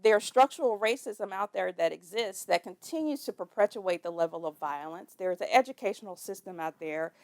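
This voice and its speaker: A woman in a serious documentary interview, speaking deliberately and stressing her contrasts. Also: medium pitch, gravelly, a little mellow.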